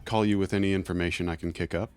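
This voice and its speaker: Very deep-voiced, condescending underground fixer played by a man. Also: fairly even intonation, clean tone, faint music behind, natural voice. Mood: cool, courteous. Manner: measured, formal, condescending. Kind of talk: scripted audio drama acting.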